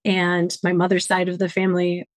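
A woman's voice: on the low side, completely flat delivery, normal speed, dry recording, studio quality. A middle-aged woman from Detroit, a podcast guest talking in an earnest, reflective way about her upbringing and her work. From Detroit, Michigan, USA. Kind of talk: conversational interview answers.

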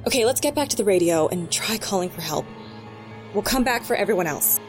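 A woman playing a brisk, commanding federal agent in an audio drama. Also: medium pitch, animated, music in the background, close to an everyday voice. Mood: firm, brisk. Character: firm, take-charge female federal agent.

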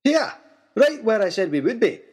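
An eager English professor and explorer, played by a male storyteller, bubbling with delight. His voice is pitched very high and leaps about as he exclaims. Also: big pitch swings, normal speed, darkish tone, husky, exaggerated voice. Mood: elated.

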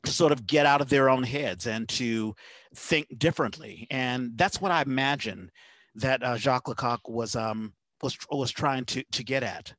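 A man's voice: medium pitch, moderate pace, fairly bright tone, dry recording. Man hosting a casual interview, dry sound. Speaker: podcast host.

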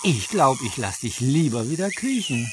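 A male actor playing a curious, calm young naturalist who ponders aloud in a radio comedy. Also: medium pitch, noisy background, lightly acted. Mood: musing. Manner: slow, pondering.

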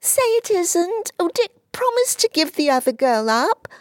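A woman's voice: very high voice, very animated, measured pace, no echo, voice pushed up, exaggerated voice. Woman doing a little girl, audiobook, eager, insistent, dry. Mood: jealous. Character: earnest, pleading, jealous little girl. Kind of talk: audiobook character voice.

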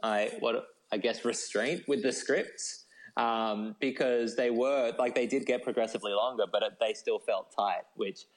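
A man's voice: medium pitch, fairly even intonation, moderate pace, rough recording. A man talking as a podcast guest in a casual, informal, self-deprecating way. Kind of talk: casual conversational chat.

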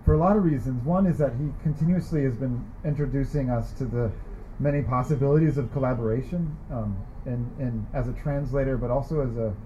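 A man from California introducing a fellow poet in a chatty, halting way, with many fillers and restarts. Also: mid-range voice, warm tone, a little hiss or hum. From Sacramento, California, US. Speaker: poet at a reading. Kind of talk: casual introduction and poetry reading. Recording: muffled lo-fi recording.

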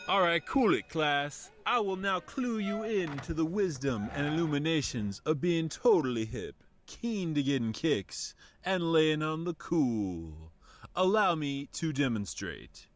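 A man's voice: on the high side, sing-song, a little slow. A man playing a cocky, jive-talking 1950s greaser in a spoof radio commercial. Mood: cool, cocky. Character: cocky 1950s greaser hepcat, slick and impatient.

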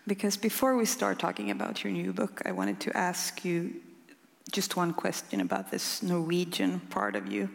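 Moderator, woman with a Swedish accent: gravelly and slightly low, curious questions, long hall reverb. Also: big pitch swings, normal speed. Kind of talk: onstage interview. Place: large concert hall.

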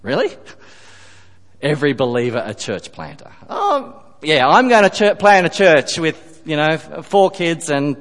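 A man preaching a sermon, emphatic, rising on key phrases and dropping to quiet asides. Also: a little high, very animated, a little slow. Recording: long reverb of a church hall.

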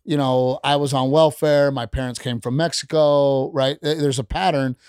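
A man's voice: medium pitch, fairly even intonation, normal speed, a little mellow, clear, resonant tone, no room sound, clean studio sound. A middle-aged man, a stand-up comedian and podcast guest, talking bluntly and heatedly in casual chat, with plenty of profanity. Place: podcast studio.

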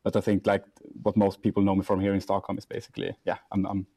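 A young man, a startup CEO, answering interview questions in a casual, halting way with many restarts and fillers. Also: low-pitched, monotone, warm tone. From Sweden.

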